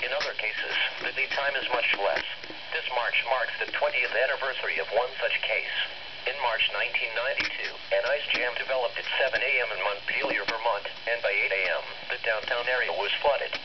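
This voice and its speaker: A man's voice: mid-range voice, fairly even intonation, brisk. A man with a formal, synthetic-sounding delivery reading scripted public information as a weather radio announcer. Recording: noisy, muffled weather radio broadcast.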